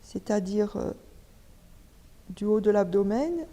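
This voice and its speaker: A woman leading a guided relaxation as a yoga teacher, giving step-by-step instructions with pauses between them. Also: medium pitch, expressive, mellow. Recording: long reverberant hall echo.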